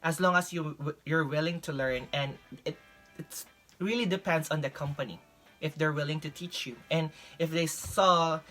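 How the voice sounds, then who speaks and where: A woman's voice: low voice, normal speed, fairly bright tone, soft background music, rough recording. A young woman from the Philippines with a Filipino accent, giving casual advice as a vlog host. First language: Visayan (Filipino). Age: young adult. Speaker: vlog host, English teacher.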